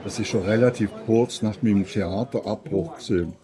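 An older man with a low voice and a Swiss German accent. He tells personal stories in a casual conversational way, with clear chatter behind him. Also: a little fast. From Switzerland. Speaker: radio maker, interviewer.

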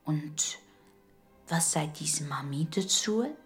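Woman as calm neighbour lady, Swiss German, children's audio story: hushed, breathy, lower pitch, unhurried. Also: faint music behind, full character voice. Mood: gentle, thoughtful. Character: calm, gentle grown-up woman neighbour Frau Himmelreich.

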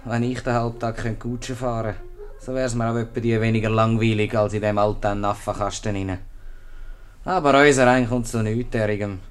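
A man acting as a bored handyman muttering complaints in an old radio comedy. His Swiss German voice is resonant and of medium pitch. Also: clear, resonant tone, lightly acted. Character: bored, grumbling tower handyman. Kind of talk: 1950s radio comedy monologue in dialect.

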